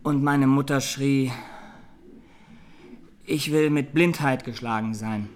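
An actor playing a haunted young Armenian defendant giving grave, deliberate testimony in a courtroom radio drama. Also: male voice, mid-range voice, a little hiss or hum, close to an everyday voice. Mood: anguished.

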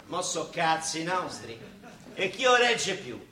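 Middle-aged Roman bricklayer father, high, hard-edged voice, sardonic grumbler in stage comedy, echoing and off-mic. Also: very animated, slow, background noise. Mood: sardonic, agitated. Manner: blunt, sarcastic, complaining. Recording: echoing stage recorded from a distance.

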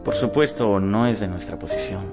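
An actor playing an earnest, remorseful young romantic gentleman in a radio drama, speaking with dramatic sincerity. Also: lowish voice, normal speed, close to an everyday voice. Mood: confessional, earnest. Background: music.

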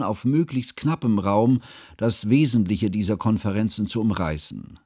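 An actor playing an earnest, troubled scholar who narrates a literary radio drama in a measured, formal, precise way. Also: male voice, mid-range voice, a little mellow, slightly rough, dry recording, studio quality. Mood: grave.

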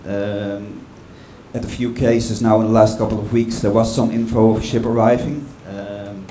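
A man on a panel answering audience questions with a hesitant, measured delivery full of fillers. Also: on the low side, flat delivery, dark tone. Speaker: panel speaker. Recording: echoey room with background noise.

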